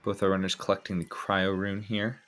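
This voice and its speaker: A man giving live speedrun commentary, explaining routes in a relaxed way with frequent ums and restarts. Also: deep voice, normal speed, faint music behind.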